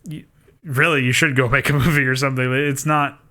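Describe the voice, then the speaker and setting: A man's voice: medium pitch, steady tone, fairly quick, sharp tone. A man co-hosting a casual gaming podcast, opinionated and wordy, talking analytically with the odd mild swear. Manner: opinionated, wordy, mildly profane. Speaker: podcast co-host. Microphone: mic on an online voice call.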